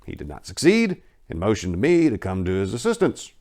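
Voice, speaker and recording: A man giving a deliberate, theatrical dramatic reading of a horror story as narrator, swelling on some words and dropping hushed on others. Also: lowish voice, huge pitch swings. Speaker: narrator, amateur story reader.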